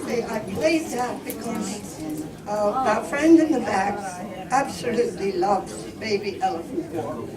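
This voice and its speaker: Slow-talking woman in an echoey crowded room. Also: medium pitch. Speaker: participant in a live show. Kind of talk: casual remarks to an audience. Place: live show inside a hardware store. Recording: echoey, distant, loud crowd. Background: loud audience.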